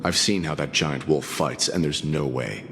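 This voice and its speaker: Very deep-voiced, grimly pragmatic bodyguard. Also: male voice, moderate pace, gravelly, a bit bright, a little hiss or hum, full character voice. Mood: grim, blunt. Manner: blunt, grimly resigned. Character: gruff, fatalistic male bodyguard, coolly pragmatic. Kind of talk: video game voice acting.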